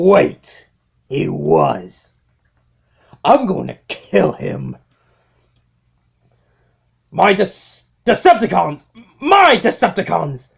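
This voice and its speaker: A man voicing a vengeful, grandiose robot tyrant in an amateur comic dub, theatrical and halting, with sardonic muttered asides. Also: sits high, creaky, slightly rough, full character voice. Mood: outraged, then rousing, vengeful.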